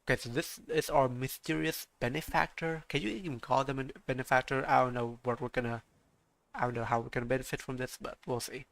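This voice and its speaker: A man giving casual commentary while streaming a game, with a gravelly edge to his voice. He talks in halting, chatty bursts that are full of restarts. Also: medium pitch, fairly even intonation, a bit bright.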